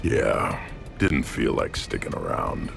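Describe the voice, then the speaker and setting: A man voicing a young, hulking alien brute in a video game, blunt and deadpan, with a growling voice. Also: rumbling low, slow pace, over music, heavily acted. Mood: deadpan, unbothered. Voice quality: gravelly, growling. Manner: terse, deadpan.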